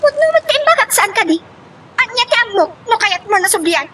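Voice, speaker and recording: A woman's voice: very high-pitched, very animated, a little fast, fairly bright tone, full character voice. An actress playing a scornful, bitter woman who nags and confronts her lover in an Ilocano melodrama. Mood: insistent, needling. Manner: nagging, confrontational. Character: scornful, bitter female lover named Monica, demanding and fiery. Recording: faint background noise.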